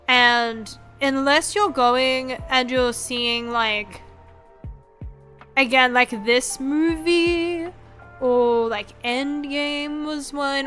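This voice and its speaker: A young woman in her twenties with a high, bright voice chats casually on a livestream. She rambles loosely, swears freely and slips into animated quotes. Faint music plays behind her. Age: 20s. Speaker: streamer, VTuber host. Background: background music.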